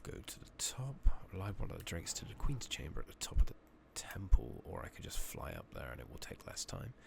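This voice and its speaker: A man giving a soft-spoken, partly whispered ASMR game playthrough, musing to himself and reading game text aloud over a noisy makeshift microphone. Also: sits low, big pitch swings, brisk, vocal fry. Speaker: ASMR gaming streamer. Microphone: close makeshift replacement microphone.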